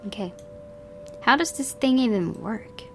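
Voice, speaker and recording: A woman's voice: a little high, animated, measured pace, soft background music. A woman hosting a gaming video, reading visual novel narration aloud and commenting on it.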